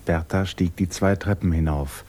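A man's voice: sits low, fairly even intonation, moderate pace, warm tone. A man narrating a literary radio play as scripted storytelling.

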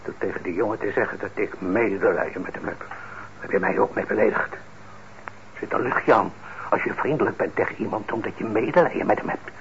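An actor playing a stern, principled middle-aged father in a radio drama, speaking in a measured, admonishing way. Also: fairly low pitch, vocal fry, a bit bright. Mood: indignant, reproachful. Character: stern, principled father and craftsman, indignant but fair. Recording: muffled old radio recording, faint noise.

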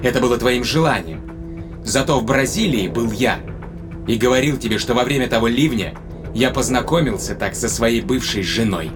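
An actor playing a tender but probing spaceship captain in a Russian audio drama, speaking conversationally with deliberate pauses. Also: male voice, medium pitch, music in the background, natural voice. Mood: probing, grave. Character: male spaceship captain, long-lived, tenderly probing his companion.

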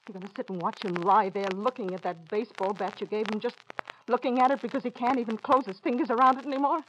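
Casting: woman to act desperate young mother, pleading and insistent, vintage radio drama, clearly noisy recording. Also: a little high, fairly even intonation, lightly acted. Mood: frantic. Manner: pleading, insistent, halting with emotion. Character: frantic, desperate young mother of a dying boy. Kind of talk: old-time radio drama acting. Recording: noisy old radio broadcast.

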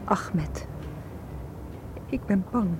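An actress playing an anxious, self-reproaching female tour guide in a radio drama, hushed and emotional. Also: mid-range voice, animated, very slow, loud music behind the voice.

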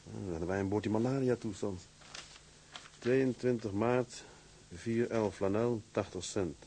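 An actor playing a hearty, affectionate young ship's mate in a radio play. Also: male voice, fairly low pitch, unhurried, warm tone, slight background noise, natural voice. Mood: quiet, musing. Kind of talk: dramatised radio play acting.

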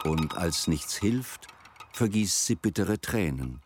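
A man narrating a folk tale for a radio drama in a measured, literary storytelling delivery. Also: low voice, animated, slight background noise. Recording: music behind the voice. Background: music.

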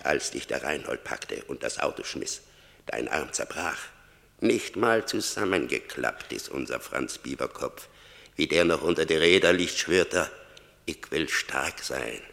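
An actor playing Death as a stern, mocking judge in a radio play, declaiming with emphatic repetition. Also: male voice, very deep voice, measured pace, creaky, fairly bright tone, exaggerated voice. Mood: accusing, scornful. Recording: vintage radio drama, long cavernous reverb.

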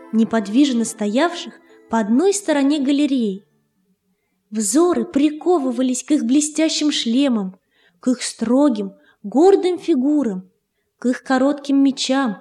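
A woman reads a children's story in a high, mellow voice. Her delivery is measured and expressive, in the style of a literary audiobook. Faint music plays behind the narration.

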